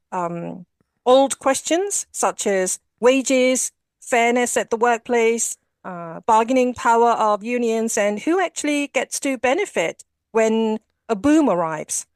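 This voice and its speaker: Higher-voiced woman journalist, dry and close. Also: dry recording. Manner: measured, hesitant with fillers. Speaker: journalist correspondent. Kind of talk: news interview analysis.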